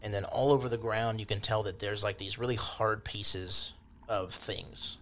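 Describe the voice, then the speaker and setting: Low-voiced man narrating a tabletop game. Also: fairly even intonation, moderate pace, fairly bright tone, slight background noise. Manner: rambling, colloquial, loosely descriptive. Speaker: game master.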